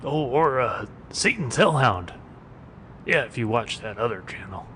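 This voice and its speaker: Guy doing a sardonic cartoon bum, really slow fake-polite taunts, noisy rumbly background. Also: animated, pushed and bright. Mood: gleeful taunting. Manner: mock-polite, drawn-out, sardonic. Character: wry, taunting street bum, vigilante's sidekick. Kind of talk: animated series dialogue. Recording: clear low rumbling background noise.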